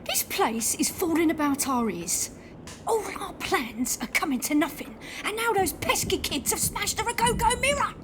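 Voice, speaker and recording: Woman playing a shrill, scheming ghost-story villainess. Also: very animated, background noise. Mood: irritated, scheming. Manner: brisk, sharp-tongued, dismissive. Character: scheming, ruthless, vain housekeeper woman, irritable and snobbish. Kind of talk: audio play acting.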